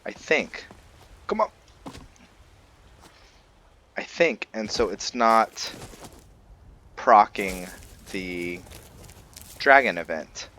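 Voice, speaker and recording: A man giving casual, unscripted gameplay commentary, musing and haltingly thinking aloud. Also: on the low side, animated, sharp tone, slight background noise. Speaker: gamer hosting a let's play.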